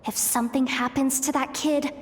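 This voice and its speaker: A woman's voice: high-pitched, steady tone, moderate pace, breathy edge. A woman voicing a cocky but reluctant young female sidekick in a video game, breezy and wry. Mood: relieved, wry.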